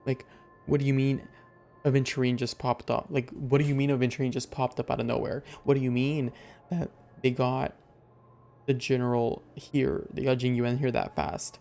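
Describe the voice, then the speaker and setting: A young man livestreaming a game, reacting casually with dramatic, repetitive exclamations in a creaky voice. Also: medium pitch, little pitch movement, normal speed, darkish tone. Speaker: game streamer. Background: game music.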